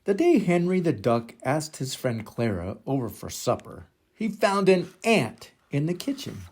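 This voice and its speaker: An older man reads a picture book aloud for young children. His delivery is slow and deliberate, with very animated rises and falls in pitch. He leans on key words and stretches them out dramatically. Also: breathy edge. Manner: dramatic, deliberate, drawn-out emphasis. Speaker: storybook reader for children.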